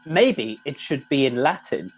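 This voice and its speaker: A man acts a plaintive, genteel upper-class English officer in a space comedy. The voice is animated and husky, in a slightly dull recording. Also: mid-range voice, measured pace, soft background music. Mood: vague, mild. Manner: mild, hesitant, plaintive. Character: languid, fussy upper-class second-in-command.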